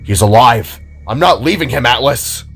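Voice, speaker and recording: A man's voice: medium pitch, animated, normal speed, fairly bright tone, breathy edge. A man plays a young male hero in an audio drama, desperate and protective. His delivery is stubborn and urgent, with music running behind him. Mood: stern, urgent.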